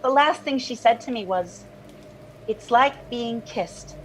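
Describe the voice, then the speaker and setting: An actress playing a haunted, enraptured woman recounting a dream in a halting, wistful monologue. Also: on the high side, slight background noise, rough recording. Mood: tender, wistful. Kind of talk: dramatic interview-style monologue.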